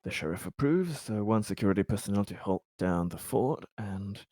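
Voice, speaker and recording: A man's voice: fairly low pitch, expressive, normal speed, a little mellow, no echo, studio quality. A man playing in a tabletop roleplaying game, speaking formally and hesitantly as a leader.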